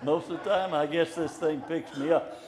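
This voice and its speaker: An older man preaching an informal, encouraging sermon in a slow, plainspoken way. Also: a little high, fairly even intonation, a little mellow, slight background noise. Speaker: pastor. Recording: echoey room, hall reverb.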